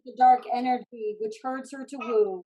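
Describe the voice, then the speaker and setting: A woman narrates the action of a staged play reading. Her voice sits slightly high as she reads scripted stage directions. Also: steady tone, normal speed, mellow, highs cut off.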